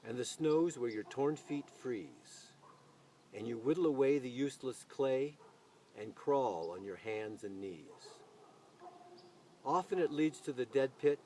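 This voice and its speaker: Mellow man reciting, distant noisy recording. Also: mid-range voice. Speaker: video channel host reading poetry aloud. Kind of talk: expressive poetry recitation with casual spoken introduction. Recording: distant mic, noise, birdsong ambience.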